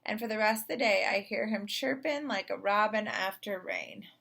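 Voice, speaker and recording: A woman's voice: medium pitch, normal speed, creaky, a bit bright. Woman reading a children's story aloud. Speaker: storybook reader. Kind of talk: expressive read-aloud narration of a children's story.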